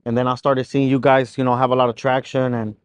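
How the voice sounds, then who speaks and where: A male podcast host in a laid-back, chatty mood, with an ordinary mid-pitched voice. He asks his questions easily and conversationally. The recording is dry and close. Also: little pitch movement, quick pace, clear, resonant tone, no room sound. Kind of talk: casual podcast interview.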